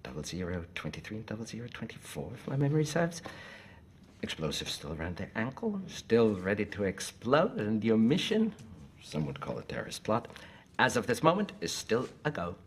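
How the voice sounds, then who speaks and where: An actor playing an urbane, menacing Nazi officer, with a German accent and a polite, precise, savouring manner. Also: male voice, on the low side, wildly swooping pitch, normal speed, slight background noise. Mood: smug, courteous.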